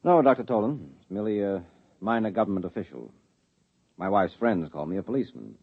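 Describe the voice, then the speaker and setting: A man's voice: on the low side, lively intonation, fairly quick, breathy edge. A man playing a curious, wry male government official in a 1950s radio drama, asking probing questions. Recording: thin tinny 1950s radio broadcast.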